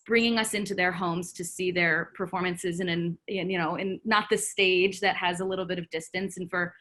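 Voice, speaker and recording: A woman giving spontaneous, reflective remarks on a video call, haltingly thinking out loud. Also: on the low side, steady tone. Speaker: audience discussion participant.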